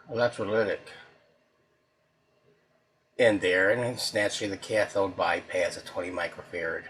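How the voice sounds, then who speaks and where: A man with a fairly low, husky voice hosts a casual workbench repair video. He talks without a script while his hands are busy, in a folksy, halting way. Much of it sounds like muttering to himself as he works. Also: fairly bright tone. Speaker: host of a radio repair video, hobbyist restorer. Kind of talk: casual unscripted workbench narration while handling the work.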